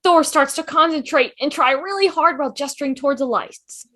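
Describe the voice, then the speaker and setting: A woman's voice: high voice, fairly quick, a bit bright. A woman reading scripted stage directions as narrator in an online table read. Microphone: video call.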